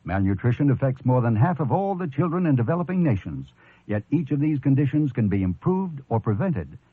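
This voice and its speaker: A man's voice: mid-range voice, very animated, a little fast, breathy edge, no room sound. A man reading an earnest, scripted public service announcement. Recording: phone-like narrow radio sound, dry.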